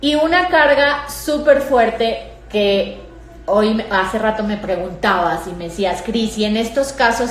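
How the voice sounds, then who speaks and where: A woman with a Mexican Spanish accent hosting an interview, engaged and questioning, often saying "o sea". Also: medium pitch. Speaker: host. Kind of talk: interview conversation. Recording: echoey room with faint ambience.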